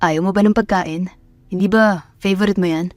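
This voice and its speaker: A woman's voice: on the low side, fairly even intonation, moderate pace, no echo, soft background music, close to an everyday voice. A woman voicing an earnest, guarded young man, a lover and father in a romance audio drama. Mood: matter-of-fact.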